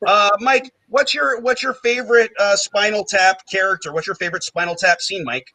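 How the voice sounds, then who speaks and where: A high, bright man's voice runs a comedy game show with jokey, self-mocking patter, tossing off asides and "um"s as he goes. The sound is close and dry, with no room around it. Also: no room sound, low-quality recording. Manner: quick, jokey, self-mocking banter with many fillers. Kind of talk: hosting a live comedy game show stream.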